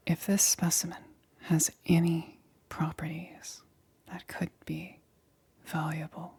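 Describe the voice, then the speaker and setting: A woman whispering breathily in an ASMR roleplay as a careful scientist, speaking in a deliberate, clinical, instructing manner. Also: sits low, little pitch movement, creaky, dark tone, slightly rough, no room sound. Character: careful, excited female scientist examining an alien specimen.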